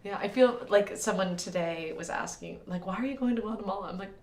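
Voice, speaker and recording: A woman hosting a casual chat, with a naturally raspy voice and a chatty manner full of laughs and animated retellings. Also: medium pitch, fairly quick. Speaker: podcast host. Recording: roomy echo, mic at a distance.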